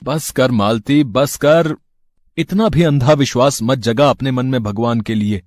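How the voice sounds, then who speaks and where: A man playing a poor, disheartened village husband in an animated moral story, with a weary, plaintive manner. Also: medium pitch, unhurried, dry recording, clean studio sound, close to an everyday voice. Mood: doubting, dejected. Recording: music under the voice.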